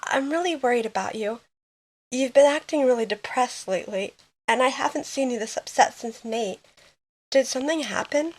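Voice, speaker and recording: Woman acting a high-voiced, concerned young friend. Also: normal speed, low-quality recording. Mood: worried. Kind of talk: fan audio drama acting.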